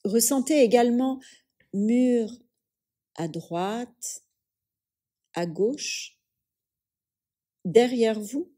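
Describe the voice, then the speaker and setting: A woman leading a guided yoga nidra relaxation as a teacher, speaking calmly and soothingly in measured phrases with long pauses. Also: on the high side.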